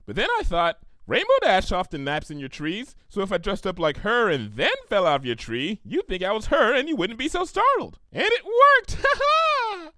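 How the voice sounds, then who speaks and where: A man voicing a bubbly, silly young female cartoon pony, chirpy and excitable, in a story reading. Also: high-pitched, normal speed, few pauses, no echo, clean studio sound, pitched above the performer's own voice, heavily acted. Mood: cheerful, eager.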